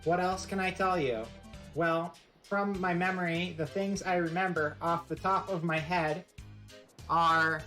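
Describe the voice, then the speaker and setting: Low-voiced joking woman streamer. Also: fairly bright tone. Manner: rambling, joking, playfully mock-serious. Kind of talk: casual live-stream presentation.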